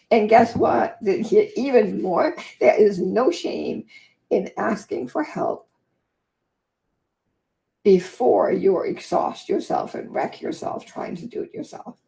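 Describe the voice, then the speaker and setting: Croaky Danish-born woman host. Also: medium pitch, lively intonation, warm tone, poor audio. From Denmark. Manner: chatty, sidetracked, fast-talking. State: hoarse from long talking. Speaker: vlog host and author. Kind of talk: casual livestream chat and reading aloud.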